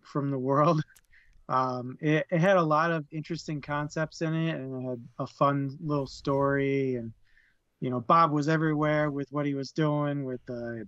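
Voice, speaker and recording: Male book club co-host; thoughtful, halting delivery, lo-fi dry recording. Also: mid-range voice, a bit bright, clean tone, no echo. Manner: thoughtful, halting, repeats words while searching. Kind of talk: casual book discussion. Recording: dull, lo-fi dry mic.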